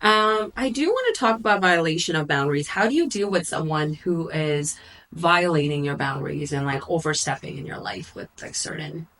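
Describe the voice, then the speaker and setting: A woman hosting a podcast interview, giving short affirming replies and asking gentle questions. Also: low voice, lively intonation, normal speed, low-quality recording. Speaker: podcast host. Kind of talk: interviewing a guest.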